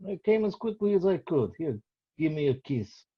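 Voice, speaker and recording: Flippant young boyfriend played by a man, lively pitch. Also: moderate pace, slightly rough. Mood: casual, cocky. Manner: flippant, needling. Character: scruffy, teasing, reckless 28-year-old boyfriend. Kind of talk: amateur script table read. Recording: dull lo-fi recording.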